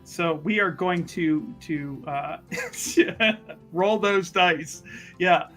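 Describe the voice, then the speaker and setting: A tabletop roleplaying player chatting excitedly and casually, agreeing repeatedly and often breaking into laughter. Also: mid-range voice, extremely slow, soft background music. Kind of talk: casual excited table chat in an actual-play game.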